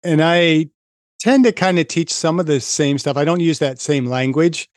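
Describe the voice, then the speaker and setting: A man's voice: on the high side, moderate pace, no room sound. Engaged man in a dry-sounding room. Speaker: podcast host and physiology teacher. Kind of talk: conversational interview discussion.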